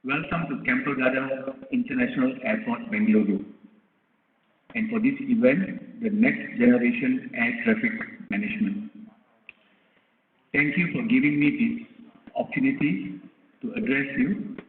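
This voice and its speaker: A man's voice: mid-range voice, normal speed, a little hiss or hum. A man from Singapore, an airport chief executive, giving a courteous formal address at a seminar. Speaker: airport CEO, guest of honor. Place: large hall. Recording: echoey hall over tinny PA.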